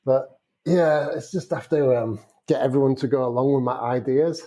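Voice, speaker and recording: A man's voice: medium pitch, normal speed, warm tone. A man with a broad Yorkshire accent talks in loose, chatty podcast conversation. He tells stories about his work with a self-mocking, easygoing humour and leans on local dialect. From Yorkshire, England. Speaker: wedding photographer guest. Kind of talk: casual podcast chat.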